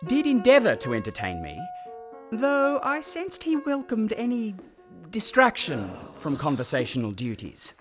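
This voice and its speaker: An actress narrating a period radio drama as a grave, articulate orphan recalling childhood, in formal, literary, reflective language. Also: female voice, fairly high pitch, wildly swooping pitch, a little slow. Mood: wry, reflective. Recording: music clearly behind the voice.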